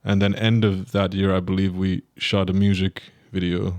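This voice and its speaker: A man's voice: low voice, little pitch movement, normal speed, a little mellow, no echo. A man from Finland, chatting casually as a podcast guest and telling long, rambling stories with restarts and laughs. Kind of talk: casual chat. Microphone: studio podcast microphone.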